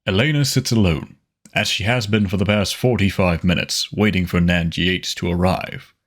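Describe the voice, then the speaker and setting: Husky, low-pitched male narrator. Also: normal speed, fairly bright tone. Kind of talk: scripted audio drama narration.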